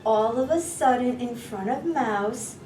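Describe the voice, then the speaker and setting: A woman's voice: a little high, mellow, echoey room, distant mic, a little hiss or hum, low-quality recording. A woman storytime reader narrating a children's story expressively, drawing out words for suspense. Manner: drawn-out words, gasps for suspense.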